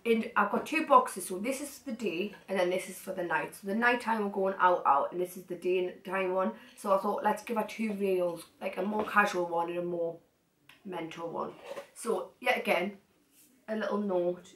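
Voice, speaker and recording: A young woman chats excitedly to camera, hopping from one thing to the next with plenty of asides. She is recorded from across the room, so her voice sounds distant and echoes off the walls, with a faint hiss underneath. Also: medium pitch, moderate pace. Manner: chatty, excitable, rambling asides. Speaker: vlogger. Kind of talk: casual haul chat to camera. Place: cluttered living room, sitting on the floor. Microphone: far camera.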